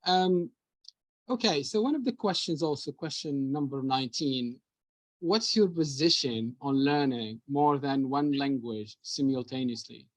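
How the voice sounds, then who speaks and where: A man's voice: medium pitch, measured pace, dry recording. A man interviewing over a video call, reading out numbered questions with hesitant fillers.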